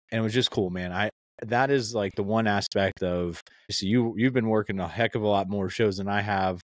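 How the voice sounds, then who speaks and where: A man's voice: fairly low pitch, dry recording. A young man hosting a podcast, chatting casually and rambling in a friendly way, often calling his guest "man" and "dude". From Pennsylvania. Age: young adult. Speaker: podcast host.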